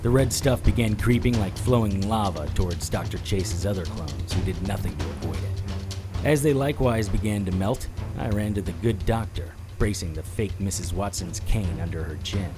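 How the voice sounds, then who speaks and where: An actor playing a tough, wisecracking private detective in an audio drama, with wry deadpan delivery and noir-style narration. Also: male voice, deep voice, moderate pace, music in the background, natural voice. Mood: tense storytelling.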